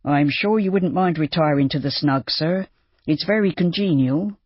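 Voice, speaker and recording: A woman's voice: sits low, moderate pace, husky, no room sound. A woman reading an audiobook, voicing an obsequious, flustered male innkeeper in a muffled recording. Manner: obsequious and deferential. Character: fawning, ingratiating male innkeeper.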